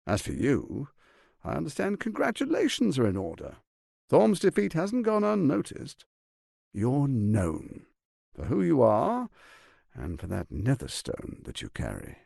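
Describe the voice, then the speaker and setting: An actor voicing a scheming, smug tyrant lord in a video game, speaking in a pompous, silky, menacing and theatrically emphatic way with a gravelly edge. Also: male voice, mid-range voice, wildly swooping pitch, unhurried, a little mellow, no room sound, studio quality, exaggerated voice. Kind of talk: dramatic video game voice acting.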